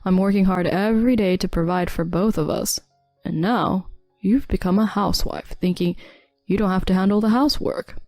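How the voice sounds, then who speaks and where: A woman's voice: medium pitch, normal speed, a little mellow, no echo, soft background music, close to an everyday voice. Condescending young husband, voiced by a woman. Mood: scornful. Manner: curt, condescending. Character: dismissive young husband, cold and scolding.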